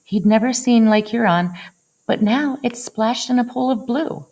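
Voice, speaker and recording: A woman reading a picture book aloud in an expressive storytelling style, dwelling on action words with dramatic phrasing. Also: on the high side, a little slow, dull audio. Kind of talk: expressive children's read-aloud narration.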